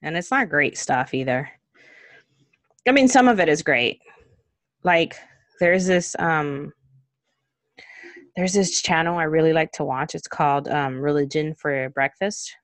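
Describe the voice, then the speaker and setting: A woman's voice: low-pitched, animated, a little slow, long pauses, no room sound, clean studio sound. A young woman co-hosting a podcast, chatting casually, laughing often and trailing off with ums. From Cuba. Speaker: podcast co-host.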